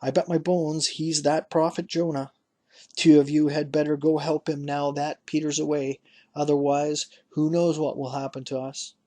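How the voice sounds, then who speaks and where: A man voicing a shrewd, excitable old fisherman father who cries out in a husky voice. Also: normal speed, close to an everyday voice. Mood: wary. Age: older. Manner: shouting eagerly, cries out high.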